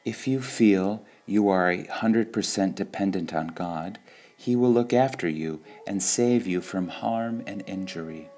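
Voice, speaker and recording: A man reading devotional sayings from a script in a measured, deliberate way, pausing between short phrases. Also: sits low, a little mellow. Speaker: reader of spiritual quotations.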